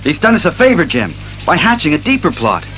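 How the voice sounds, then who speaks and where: An actor playing an earnest, methodical masked detective hero who reasons aloud in a declamatory audio drama style. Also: male voice, a little high, huge pitch swings, a bit bright, soft background music, low-quality recording. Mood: earnest, resolute. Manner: deliberate, declamatory deduction.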